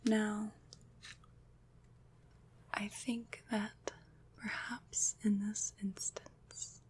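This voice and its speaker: A woman playing a gentle, soothing witch in an ASMR roleplay, whispering softly and breathily with tender, formal, old-fashioned phrasing. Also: medium pitch, level tone, drawn-out words, slightly rough. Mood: calm, explanatory. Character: gentle, soothing female witch, caring and slightly mysterious.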